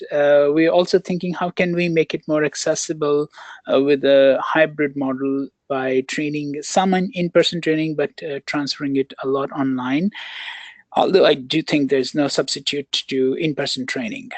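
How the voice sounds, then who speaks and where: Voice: mid-range voice, fairly even intonation, moderate pace, darkish tone, no echo. A counselor answering questions on a webinar, talking with many fillers and restarts over a video call. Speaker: counselor and program researcher.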